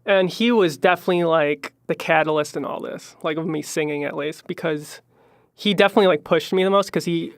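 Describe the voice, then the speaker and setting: High-voiced young man with gravelly fry. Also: brisk, no room sound. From Chicago, Illinois. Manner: rambling, filler-heavy, trails off quietly. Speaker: musician guest. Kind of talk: casual podcast interview.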